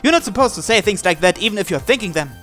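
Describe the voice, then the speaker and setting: A man with a somewhat high-pitched voice reads a visual novel aloud for a let's-play. He voices both the narration and every character's lines in his own plain voice. Soft game music plays quietly underneath. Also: expressive, racing.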